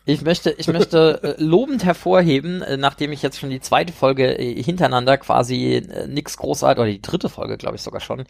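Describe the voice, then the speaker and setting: A man's voice: mid-range voice, a little fast, vocal fry, few pauses, darkish tone, no echo, studio quality. A man co-hosting a casual podcast discussion, rambling with many fillers and laughing at his own jokes. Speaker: podcast co-host.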